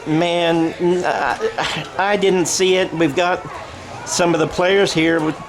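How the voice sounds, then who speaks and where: A man's voice: high voice, steady tone, slow pace, rough recording. A man doing radio-style football play-by-play outdoors, with rambling, self-correcting delivery and folksy asides. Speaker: play-by-play announcer. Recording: clear outdoor stadium background noise.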